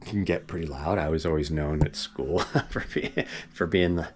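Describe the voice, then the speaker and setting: Deep-voiced man rambling casually. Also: normal speed, darkish tone. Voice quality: deep voice that carries. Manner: rambling, many fillers and restarts, chuckles often. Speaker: vlogger giving a property update. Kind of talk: casual rambling talk to camera. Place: home property in the Pacific Northwest forest.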